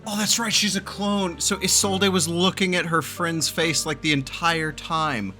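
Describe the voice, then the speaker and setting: A man's voice: a little high, steady tone, measured pace, pushed and bright, faint music behind. A man giving casual, sweary, joking reaction commentary while playing a game. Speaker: game streamer reacting to cutscenes.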